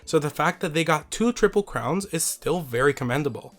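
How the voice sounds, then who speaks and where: Slightly raspy man narrating a video essay. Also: a little high, animated, brisk, fairly bright tone.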